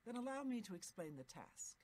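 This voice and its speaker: A woman's voice: fairly high pitch, big pitch swings, brisk, a little mellow. A woman voicing a stern female mage guild official in a video game, speaking in a formal, commanding way. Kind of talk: video game character dialogue.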